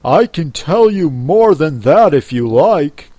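A reader voicing a brusque, impatient and solemn beast in a storybook, speaking forcefully. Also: mid-range voice, very animated, slow pace, no echo. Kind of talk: storybook character reading.

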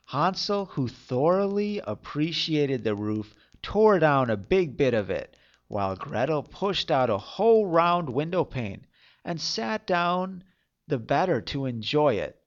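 A man's voice: a little high, sing-song, unhurried, highs cut off. A man narrating a fairy tale as a storyteller, reading expressively.

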